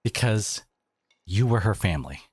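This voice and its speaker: A man playing a devoted, protective boyfriend in a dramatic roleplay audio, speaking emphatically with sarcastic edges and dramatic pauses. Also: lowish voice, lively intonation, slightly rough, no room sound. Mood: indignant, resolute.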